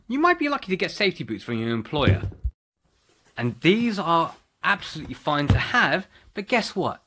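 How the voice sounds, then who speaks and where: A man's voice: a little high, pushed and bright. Salesy guy doing an ad, really bouncy voice, talks right at you. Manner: salesy, direct to listeners. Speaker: ad presenter. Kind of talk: upbeat product pitch.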